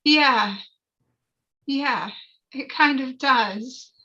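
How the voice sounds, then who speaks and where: A woman's voice: high voice, extremely slow, sharp tone, dull audio, full character voice. A woman playing an anxious, earnest wife who longs to be a mother, in a script table read. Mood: overwhelmed.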